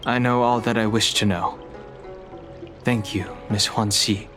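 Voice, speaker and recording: Game hero: reserved young man, lowish voice, very slow delivery, formal and solemn. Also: steady tone, fairly bright tone, soft background music. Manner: terse, formal, restrained. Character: reserved, solemn young dragon-descended man, weary of burdens. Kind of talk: video game voice acting.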